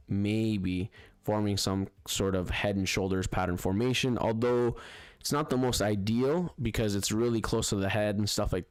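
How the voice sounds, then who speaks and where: Chatty, low-voiced trading streamer. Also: male voice, no echo. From Spanish-speaking background. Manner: chatty, repeats "guys" and "right?", frequent fillers and restarts. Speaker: forex trading livestream host. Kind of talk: casual live-stream commentary over charts.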